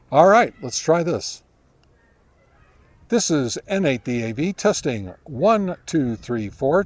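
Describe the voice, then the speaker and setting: An American man talks casually as an amateur radio reviewer out in the field. His voice sits at an ordinary middle pitch, and he feels his way through explanations haltingly, thinking aloud. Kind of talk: casual field-test vlog. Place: outdoors in a park.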